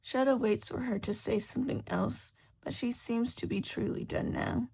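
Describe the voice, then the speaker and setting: A woman's voice: fairly high pitch, moderate pace, vocal fry, darkish tone, husky, poor audio. A woman reading a scripted fiction story aloud as its narrator. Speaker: podfic narrator.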